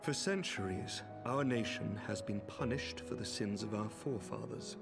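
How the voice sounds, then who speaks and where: Noble, measured knight commander. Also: male voice, lowish voice, vocal fry. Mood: grave, resolute. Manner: formal, measured, eloquent. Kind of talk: scripted video game cutscene acting. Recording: music behind the voice.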